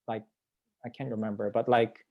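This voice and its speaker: A man's voice: lowish voice, flat delivery, moderate pace, gravelly, muffled recording. A young man from the Philippines talking casually as a panelist on a video call, rambling and repeating words for emphasis. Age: young adult. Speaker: panelist sharing work experience. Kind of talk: casual spoken panel talk.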